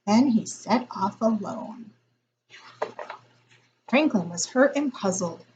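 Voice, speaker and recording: Lady reading a kids picture book, deliberate and expressive, lively pitch, bit of noise behind her. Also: dull audio. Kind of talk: expressive children's story read-aloud.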